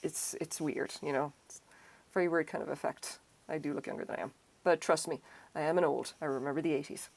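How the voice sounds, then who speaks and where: A woman's voice: low-pitched, fairly even intonation, fast talker, gravelly, darkish tone. A middle-aged woman with an Irish accent, chatting casually and rambling while she crochets on a live stream. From Ireland. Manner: chatty, self-deprecating, rambling asides.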